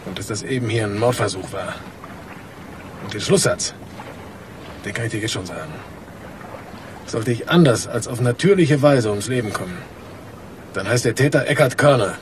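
A man's voice: moderate pace, pauses often, close to an everyday voice. An actor playing an embittered, accusing male worker in a radio crime drama. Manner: grim, accusatory. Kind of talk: naturalistic 1980s German radio crime drama acting. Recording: noisy ambience, engine-like rumble.

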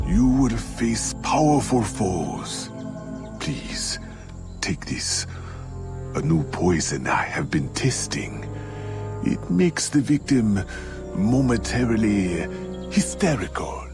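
An actor playing a grave, watchful older male mentor in a video game, speaking in a solemn, deliberate way. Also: fairly low pitch, sing-song, over music, heavily acted. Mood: foreboding. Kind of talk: scripted video game acting.

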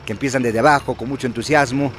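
A man's voice: medium pitch, steady tone, fast talker, a bit bright, slight background noise. A man, interviewed outdoors at a busy fair and speaking into a handheld reporter mic. He talks fluently and promotionally, with many fillers and tag questions. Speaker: bank executive interviewed. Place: outdoor fairground, busy event. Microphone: handheld reporter microphone.

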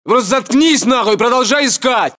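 An actor playing a brash, foul-mouthed Russian soldier in video game battle chatter, with a coarse, bantering way of talking. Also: male voice, very high-pitched, expressive, moderate pace, bright, forceful tone, in a large hall, heavily acted. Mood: irritated.

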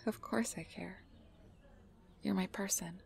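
Creaky, doting fey sweetheart, acted by a woman. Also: medium pitch, steady tone, takes it slowly, a little mellow. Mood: adoring, intimate. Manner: intimate, doting, often breathy whispering. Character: obsessive, sweet-talking fey woman posing as a devoted lover. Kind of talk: ASMR roleplay, close personal attention.

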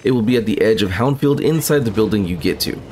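Fast-talking, lowish-voiced male guide narrator. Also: lively intonation, a little mellow, slight background noise. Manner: matter-of-fact, instructional. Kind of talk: scripted walkthrough narration.

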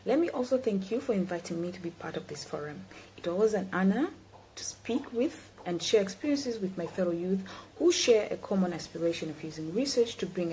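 A woman's voice: medium pitch, dark tone, slight background noise, low-quality recording. A young woman in her twenties from Tanzania delivers a prepared, earnest speech to a youth forum over a video call. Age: 20s. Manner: measured, earnest, read-from-notes delivery. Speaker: guest speaker. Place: indoor room. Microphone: laptop webcam, video call.

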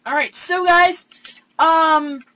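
Young gamer host, high lively voice, bright tone, excitable and halting; home-room recording. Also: male voice, poor audio. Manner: excitable, gasping, hesitant fillers. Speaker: gaming channel host. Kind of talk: excited let's play commentary. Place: home room with console screen.

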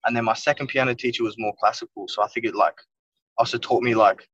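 A young man talks loosely and at length, leaning on filler words as he rambles. His voice comes through a dull, low-fidelity video call. He sits back from the microphone, so he sounds a little distant. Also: steady tone, quick pace, fairly bright tone, husky, no echo. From Wales. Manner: rambling, heavy use of "like" and "you know what I mean". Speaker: music producer guest. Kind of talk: casual interview chat. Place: inside a car. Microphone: phone or laptop camera on a video call.

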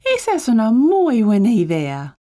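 A woman voicing a kindly, cheerful young mother in a children's cartoon, gentle and expressive. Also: fairly high pitch, unhurried. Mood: encouraging.

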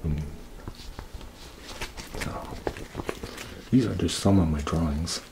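An older man speaking softly in a halting ASMR ramble, murmuring and half-whispering close to a tabletop microphone. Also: deep voice. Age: older, around 60s. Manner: soft-spoken, halting murmur, often breathy half-whisper. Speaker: ASMR creator showing own sketches. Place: small indoor room, green backdrop. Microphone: tabletop Yeti microphone, close. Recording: noisy close-up recording with clicks.

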